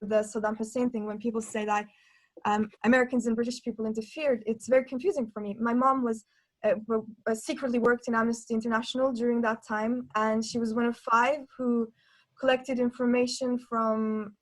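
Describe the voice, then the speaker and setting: A woman from Norway taking part in a panel discussion, talking in an earnest, reflective way and pausing to restart her thoughts as she goes. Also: on the high side, poor audio. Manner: earnest, thinking aloud with pauses and restarts.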